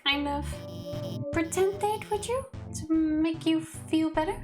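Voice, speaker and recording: A woman's voice: very high voice, a little slow, music in the background. A woman voicing a nervous, bashful, lovestruck female scientist in a video game, stammering and hesitant. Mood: sheepish, confessing.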